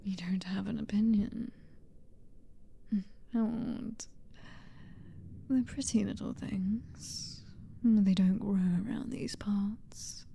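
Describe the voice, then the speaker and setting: A woman with a British accent voicing a possessive, centuries-old female vampire noblewoman in a slow, intimate whispered roleplay. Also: mid-range voice, fairly even intonation, dark tone, a little hiss or hum. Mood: teasing. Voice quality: breathy whisper. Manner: slow, intimate, teasing and controlling. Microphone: close microphone.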